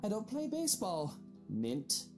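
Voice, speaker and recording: A woman's voice: mid-range voice, huge pitch swings, a little slow, music in the background, pitched above the performer's own voice. A woman voicing a smug, flirtatious married man in a game she reads aloud, with a teasing manner. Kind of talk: visual novel character voicing.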